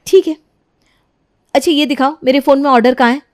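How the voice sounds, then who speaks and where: A woman's voice: sits high, fairly even intonation, brisk, close to an everyday voice. A woman voicing an insolent, scheming young daughter-in-law in a moral story, sarcastic and defiant in manner. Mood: indignant, accusing.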